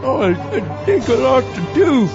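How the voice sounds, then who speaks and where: A theatrical male horror podcast host performing comic skits. His voice is high and swoops around, slightly dull in sound, with loud music behind it. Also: very animated, measured pace. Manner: theatrical, hammy.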